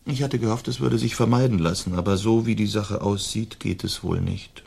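Young nobleman, slightly low voice, languid, plaintive, formal, dutiful, radio play. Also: normal speed, creaky, a little mellow, breathy edge. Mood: resigned. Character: languid young nobleman, peace-loving, dutiful, weary son. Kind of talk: German radio play acting.

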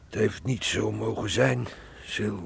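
A loyal, sorrowful villager in a radio drama. His voice is lowish and heavily creaky, with a subdued, deferential and halting delivery. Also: a little hiss or hum. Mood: subdued sympathy. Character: sorrowful, loyal village neighbour of the grieving father. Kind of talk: Dutch radio play acting.

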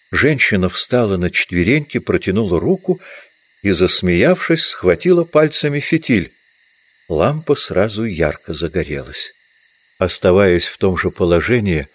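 A man narrating a literary audio drama from a script. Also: low-pitched.

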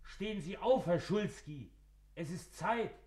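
A man's voice: high voice, expressive, measured pace. An actor playing a man insistently calling out in short phrases to wake someone, in a radio play. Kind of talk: German radio play acting. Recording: echoey, loud noise, knocking.

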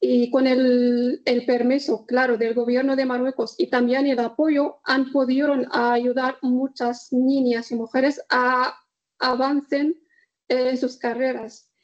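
A woman from northern Morocco, answering interview questions in a halting way, pausing often to search for words. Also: sits high, flat delivery, highs cut off. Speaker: guest.

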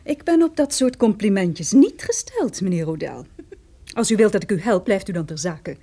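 An actress playing a brisk, stern young female lawyer in a radio drama, speaking in a precise, businesslike way. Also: medium pitch, very animated, dark tone. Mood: stern, offended. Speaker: supporting actress. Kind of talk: radio detective drama acting.

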